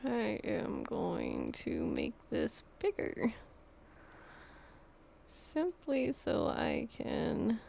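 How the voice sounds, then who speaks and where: A woman livestreaming a game, chatting casually in halting, rambling self-talk. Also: fairly low pitch, wildly swooping pitch, creaky, a little mellow, slight background noise.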